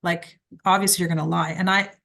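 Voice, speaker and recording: A woman's voice: fairly low pitch, steady tone, brisk, vocal fry, a bit bright. A middle-aged woman from Quebec, a criminal defence lawyer, giving direct, emphatic advice in an interview. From Quebec, Canada. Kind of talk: conversational interview answers.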